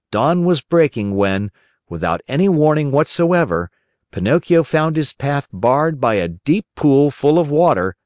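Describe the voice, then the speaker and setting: Expressive male storyteller, lively intonation. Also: medium pitch, no echo, studio quality. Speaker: audiobook narrator. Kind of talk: scripted children's story narration.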